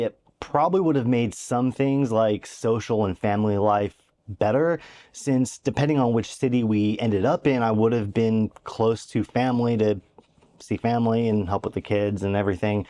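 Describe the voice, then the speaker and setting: A man's voice: mid-range voice, mellow. American man, dry matter-of-fact vlogger. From Oklahoma, USA. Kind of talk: scripted vlog narration.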